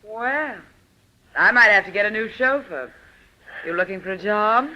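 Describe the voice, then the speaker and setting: Imperious, bright-voiced movie star. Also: female voice, medium pitch, expressive, measured pace. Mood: cool, teasing. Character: imperious, coolly flirtatious glamorous movie actress. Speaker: radio drama actor. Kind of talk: old-time radio drama acting. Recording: dull, noisy old radio recording.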